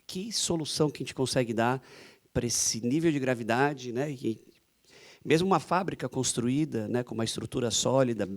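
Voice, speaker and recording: Inquisitive Brazilian man moderating, mid-pitched voice. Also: normal speed. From Santos, Brazil. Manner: inquisitive, strings questions together. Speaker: panel moderator. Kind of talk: conversational forum moderation.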